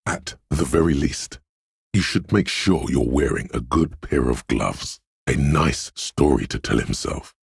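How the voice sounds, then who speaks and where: A man voicing a wry, erudite inner voice that narrates a detective's thoughts in a video game, deliberate and literary, with a gravelly husky tone. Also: rumbling low, animated, no echo, clean studio sound, exaggerated voice. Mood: measured, wry. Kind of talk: scripted second-person narration for a role-playing video game.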